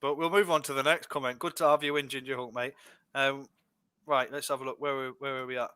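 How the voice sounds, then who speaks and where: A man's voice: medium pitch, fairly even intonation, fast talker, pushed and bright, clean tone, no room sound. A young Scottish man hosting a casual streaming podcast, reading out viewer comments and chatting with a laugh in his voice. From Scotland. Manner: chatty, laughs easily, restarts a lot. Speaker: podcast host.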